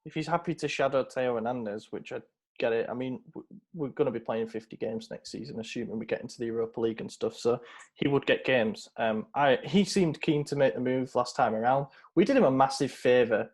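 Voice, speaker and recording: A man's voice: mid-range voice, brisk, a little mellow. A man, a podcast host chatting casually about football, rambling with many fillers.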